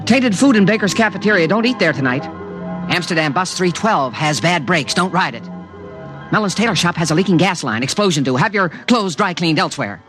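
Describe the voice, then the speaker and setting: A man's voice: high-pitched, lively intonation, brisk. A man voicing an alien creature that protects a human, speaking in a precise, matter-of-fact, earnest way, in a 1950s radio drama. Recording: dry studio, background music.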